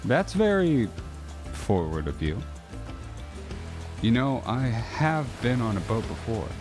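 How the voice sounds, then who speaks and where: Lively, wry retired man over music. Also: mid-range voice, slow pace. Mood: intrigued. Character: reserved, wry Canadian retiree, island hopping. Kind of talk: naturalistic audio drama acting.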